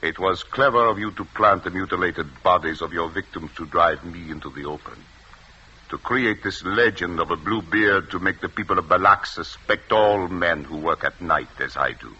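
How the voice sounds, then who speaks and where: An actor playing a cool, commanding French resistance leader and doctor in a 1940s radio drama, speaking in a measured, formal way. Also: male voice, low voice, bright, forceful tone, husky, full character voice. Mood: proud, scornful. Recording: muffled, noisy old radio recording.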